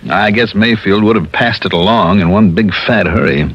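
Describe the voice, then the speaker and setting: A man's voice: on the low side, moderate pace, breathy edge. An actor with an American accent playing a wry, cocky insurance investigator who narrates his case in an old-time radio drama. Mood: casual, probing. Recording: dull lo-fi vintage radio recording.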